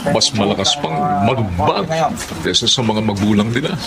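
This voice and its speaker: A man's voice: on the low side, animated, moderate pace, a loud crowd. A man acting a world-weary older laborer who grumbles reflectively in a Filipino radio drama. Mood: grumbling, resigned. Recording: clear background ambience noise.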